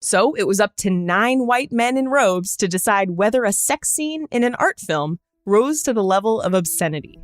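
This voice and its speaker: Lively female podcast host with wry delivery. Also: medium pitch, normal speed, hardly any pauses, no room sound, clean studio sound. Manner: wry, joking asides. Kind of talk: scripted narration, conversational personal storytelling.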